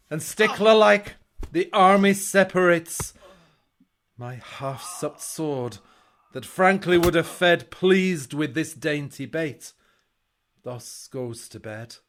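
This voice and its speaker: An actor playing a ruthless, gloating warrior commander with a menacing, declamatory delivery in a Shakespearean audio drama. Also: male voice, fairly high pitch, expressive, takes it slowly, a bit bright, slight background noise. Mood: grim satisfaction.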